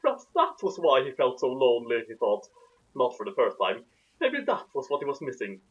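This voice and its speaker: A man's voice: medium pitch, huge pitch swings, a little fast, highs cut off. A man reading fan fiction aloud theatrically, with sarcastic asides. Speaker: narrator.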